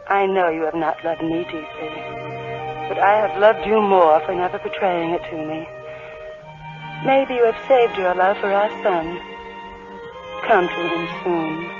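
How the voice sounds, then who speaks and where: An actress playing a devoted, resigned wife in an old-time radio drama, reading gently and wistfully like a farewell letter. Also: female voice, on the low side, unhurried, pauses often, lightly acted. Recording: dull vintage radio recording, music behind.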